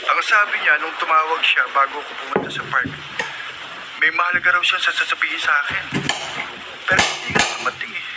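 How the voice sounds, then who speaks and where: A man's voice: fairly high pitch, fairly quick, very noisy, close to an everyday voice. A man playing an anxious, earnest young deliveryman in love in a radio drama. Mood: worried. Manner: worried, earnest.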